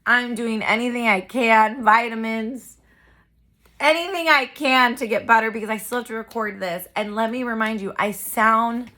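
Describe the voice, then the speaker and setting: Bright-voiced chatty young woman. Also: fairly high pitch, normal speed. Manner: chatty, animated, addresses viewers as "guys". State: congested from a cold. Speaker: vlogger and poet. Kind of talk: casual storytelling vlog.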